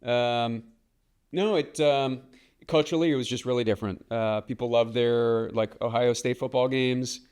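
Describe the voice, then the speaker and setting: A man in his late thirties from the Washington DC area, a podcast guest speaking in a measured, thoughtful way with frequent ums. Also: medium pitch, fairly even intonation, a little mellow, clean tone. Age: late 30s. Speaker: guest, real estate broker. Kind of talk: casual podcast interview.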